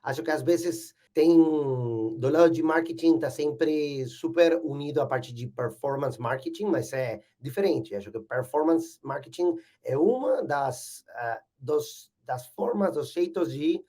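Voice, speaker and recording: A man from Argentina with an Argentine Spanish accent, a native Spanish speaker, talking as a podcast guest in careful Portuguese and often pausing on "eh". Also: on the high side, dark tone. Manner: hesitant, fills pauses with "eh". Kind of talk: casual interview talk.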